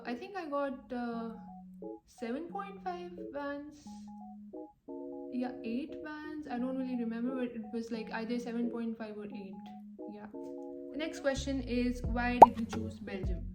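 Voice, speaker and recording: Chatty young woman, echoey room, music. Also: a little high. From Pakistan. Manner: chatty, many fillers like "like" and "um". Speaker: vlogger. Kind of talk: casual Q&A chat to camera.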